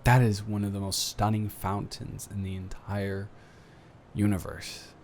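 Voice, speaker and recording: A young man playing an earnest, awkward college student smitten with a dormmate, rambling and halting in an intimate roleplay audio drama. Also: on the low side, completely flat delivery, a little mellow, a little hiss or hum. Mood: awed, reflective. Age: young adult.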